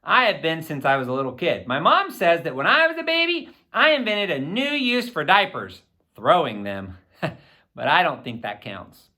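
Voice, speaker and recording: A man narrates a children's audiobook with boyish expression. His voice is bright and forward, moving freely up and down as he tells the story in the first person. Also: a little high, sing-song, moderate pace. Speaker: audiobook reader.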